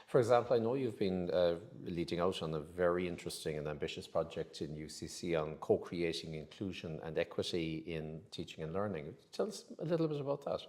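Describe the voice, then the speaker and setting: A man's voice: low-pitched, fairly quick, hardly any pauses, a little mellow. A man hosting an academic interview, asking friendly, encouraging questions. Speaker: interview host.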